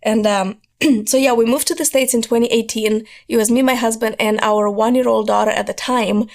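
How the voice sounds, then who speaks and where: A woman, telling her life story as a podcast guest, hoarse from losing her voice and talking in an earnest, driven way. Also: fairly high pitch, steady tone, normal speed, a little mellow. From Moldova. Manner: earnest, driven storytelling, repeats words for emphasis.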